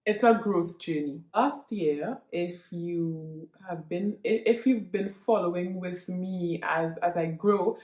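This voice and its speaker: A woman's voice: on the low side, takes it slowly, hardly any pauses, darkish tone. A Trinidadian woman vlogging to her viewers in an earnest, reflective monologue, often restarting and repeating herself as she gathers her thoughts. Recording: echoey room.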